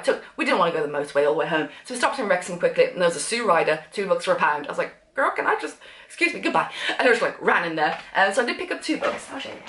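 Chatty woman vlogging fast. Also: mid-range voice, reverberant. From England. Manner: chatty, animated, gestures while talking. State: blocked up with a cough. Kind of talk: casual chat to camera. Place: sunroom with sofa, indoors.

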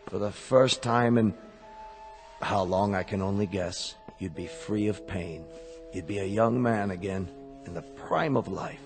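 An actor playing a smooth company salesman in a radio drama, with polished, reassuring patter. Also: lowish voice, a little slow. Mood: reassuring, persuasive. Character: smooth persuasive salesman of replacement android bodies. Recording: hall reverb with music behind.

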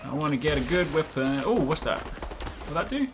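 A man in his thirties giving casual, chatty, excitable gameplay commentary, often repeating short phrases. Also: fairly high pitch, brisk, noisy background. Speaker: gaming video host. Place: indoors at a desk, gaming setup.

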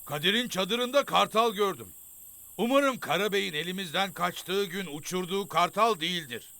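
A man's voice: sits high, moderate pace, gravelly, bright, forceful tone, dry recording, heavily acted. An actor playing a stern, suspicious man who gives probing orders in a children's cartoon. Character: stern, suspicious elder brother figure giving orders. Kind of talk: animated children's series dubbing.

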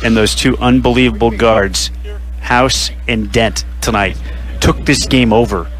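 Radio sportscaster reading game stats. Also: male voice, medium pitch, fairly even intonation, faint music behind. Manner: stat-heavy, measured delivery with sponsor reads. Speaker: radio play-by-play announcer. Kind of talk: radio postgame broadcast.

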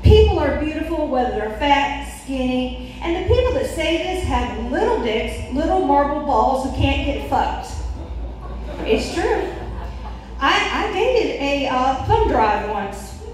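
A very reverberant, slightly noisy club recording of a woman performing stand-up. She is middle-aged and has a high voice. Her delivery is opinionated and bluntly foul-mouthed, spoken into a handheld stage mic. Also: big pitch swings, takes it slowly. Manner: brash, profane, opinionated. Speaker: stand-up comedian. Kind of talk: stand-up comedy routine. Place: small comedy club stage, indoors. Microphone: handheld stage mic, recorded from the audience. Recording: very echoey room, distant mic, faint noise.

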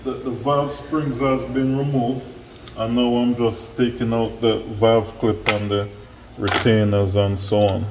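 A man's voice: medium pitch, little pitch movement, a little slow. A middle-aged man, a mechanic giving plain-spoken, step-by-step hands-on instruction. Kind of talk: hands-on tutorial narration. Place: small bare-walled indoor auto workshop. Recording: echoey hard-walled room, noticeable background noise.

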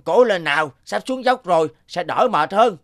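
A man voicing a determined, persuasive golden goat in an animated fable, assured and encouraging. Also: high-pitched, normal speed.

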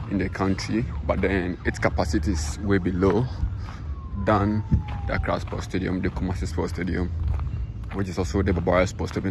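Deep-voiced Ghanaian man over loud outdoor noise. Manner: informal, frequent "you understand" check-ins. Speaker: sports channel host. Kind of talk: walking tour commentary to viewers. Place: outdoors, open stadium grounds and car park. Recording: outdoor handheld recording, loud ambient noise.

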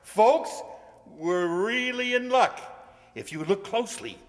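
An older man playing a bus tour guide, announcing sights in measured fashion in a radio comedy. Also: high voice, lively intonation, heavily acted. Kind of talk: old-time radio comedy acting. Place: amateur stage reading in a hall. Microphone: stand microphone. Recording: echoey stage in a large hall.

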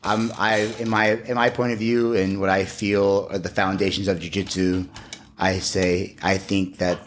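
A man in his thirties talking casually as a podcast guest, thinking aloud in a measured way and repeating words as he goes. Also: low-pitched, flat delivery, a little hiss or hum. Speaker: guest, gym owner and martial arts coach. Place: small indoor podcast studio. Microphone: podcast microphone on a boom arm.

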